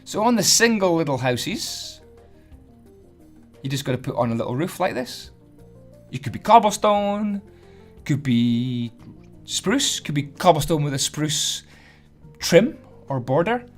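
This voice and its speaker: Hoarse man, fairly high voice, chatty and self-deprecating; casual tutorial host over faint music. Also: very animated, measured pace, pauses often. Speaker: Minecraft building tutorial host.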